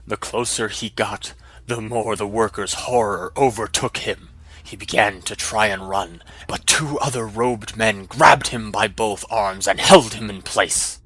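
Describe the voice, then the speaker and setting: Male narrator, lowish pitch, forceful bright tone, dramatic scripted fantasy storytelling. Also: measured pace, low-quality recording.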